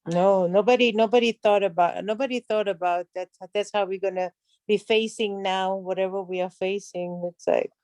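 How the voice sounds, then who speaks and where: A woman in her fifties with an Israeli accent chatting on a video call, often pausing to search for words. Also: medium pitch, fairly even intonation, no room sound. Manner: warm listener, searching for words. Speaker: class participant. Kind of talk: casual chat on a video call.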